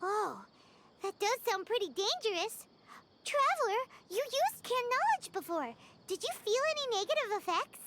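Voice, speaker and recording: A woman voicing a tiny, childlike female fairy companion in a video game, squeaky, cutesy and inquisitive. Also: sing-song, moderate pace, very noisy. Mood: curious, concerned. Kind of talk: video game character dialogue. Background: loud background game music.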